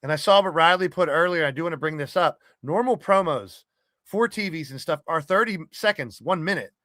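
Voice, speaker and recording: Man, gaming podcaster. Forceful, slightly high voice, blunt and loose casual delivery, dry recording. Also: lively intonation, a little fast, no echo. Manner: blunt, sweary, rambling. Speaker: podcast host and wrestling game streamer. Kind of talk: casual monologue to an online community.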